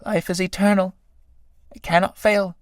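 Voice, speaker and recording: A woman's voice: flat delivery, normal speed, dry recording, studio quality, natural voice. A woman voicing a chorus of doomed moths. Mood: chanting. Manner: low, hushed, chanting recitation.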